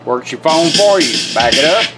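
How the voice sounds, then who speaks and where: A man with a slightly high voice walks through a product demonstration in plain, informal language. He gives short step-by-step instructions in a folksy way. Guitar music plays noticeably behind him. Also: expressive, moderate pace. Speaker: product demonstrator, radio builder. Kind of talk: casual hands-on product demonstration.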